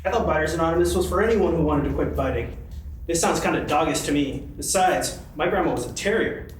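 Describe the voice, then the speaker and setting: Man as an indignant puppet dog, fairly high male voice, blunt, opinionated, echoey room. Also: fast talker, close to an everyday voice. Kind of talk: amateur sock puppet show. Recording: echoey convention room, faint noise.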